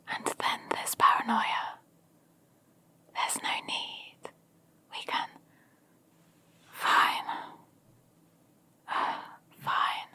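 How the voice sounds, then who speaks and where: A woman voicing a villain muttering tersely to herself in an insistent breathy whisper, in an ASMR roleplay. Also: bright, forceful tone.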